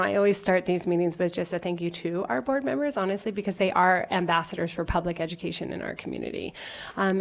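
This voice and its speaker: Woman executive director, voice still rough from a cold, lowish pitch, friendly tone. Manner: friendly, grateful, filler-heavy. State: recovering from illness. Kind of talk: meeting welcome and updates.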